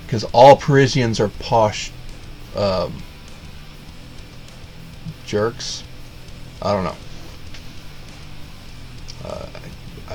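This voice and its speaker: Sarcastic man ranting in a casual review. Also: on the low side. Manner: sarcastic, rambling, full of fillers and restarts. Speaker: video reviewer.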